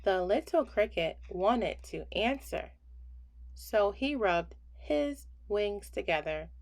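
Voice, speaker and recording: Woman, children's story reader, very unhurried, expressive swooping intonation, deliberate pauses, bedtime read-aloud. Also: medium pitch. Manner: slow deliberate phrasing with pauses, hushing on refrains.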